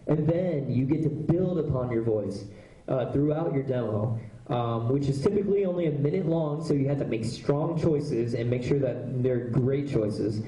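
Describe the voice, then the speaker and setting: A man's voice: mid-range voice, moderate pace, warm tone. A man, a voice actor, casually answering audience questions at a convention panel, talking loosely with plenty of fillers. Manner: chatty, loose, lots of fillers. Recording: echoey panel room.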